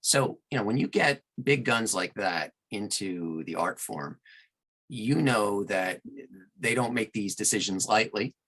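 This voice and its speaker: A man speaking as an audiobook narrator and guest in an interview, answering conversationally in measured, thoughtful phrases. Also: lowish voice, a bit bright, poor audio.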